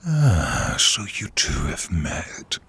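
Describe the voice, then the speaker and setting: A man playing a menacing, bitter dark sorcerer king risen from peasantry, with a gravelly half-whispered rasp and grandiose, taunting delivery in a fantasy audio drama. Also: very deep voice, huge pitch swings, heavily acted. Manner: slow, theatrical, taunting delivery. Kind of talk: fantasy audio roleplay acting.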